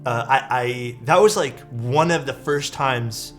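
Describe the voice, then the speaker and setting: Man, young, livestream host, rambling casual chat, mid-pitched voice, background music. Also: slow pace. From Canada. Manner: excitable, rambling, lots of "like" and "dude". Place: his room at home. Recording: speech over background music.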